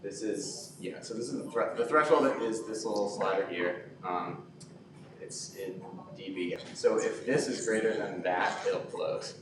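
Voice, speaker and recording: Low-voiced man in a very echoey room. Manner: halting, thinking aloud. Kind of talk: informal technical demonstration. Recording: echoey room, distant mic, steady noise.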